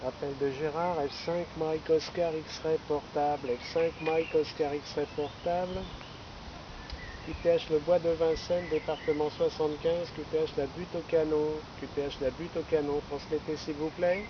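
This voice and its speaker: Man, amateur radio operator, slightly high voice, phonetic call signs, dull lo-fi sound, outdoor noise. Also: little pitch movement, measured pace. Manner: repeats call signs and phrases, spelling them out phonetically. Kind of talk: ham radio call and chat. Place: outdoors in a wooded park. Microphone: camera mic while operating a radio.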